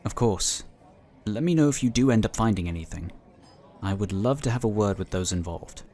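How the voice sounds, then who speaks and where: Sci-fi audio drama inquisitor, played by a man: lowish male voice, measured, dryly understated, protective and cautious. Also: lively intonation, warm tone. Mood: calm, dry.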